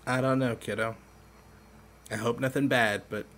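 A man playing a caring, teasing middle-aged uncle in a naturalistic audio drama, speaking in a gentle, wry, reassuring way. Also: mid-range voice, fairly even intonation, normal speed, close to an everyday voice. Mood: tender.

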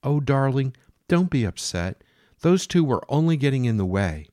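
A man's voice: big pitch swings, normal speed, mellow, dry recording, studio quality, natural voice. A man voicing a drunk, unhinged woman who speaks sweetly while threatening violence. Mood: cooing. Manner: cooing, falsely sweet, high-strung. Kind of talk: dramatic story reading.

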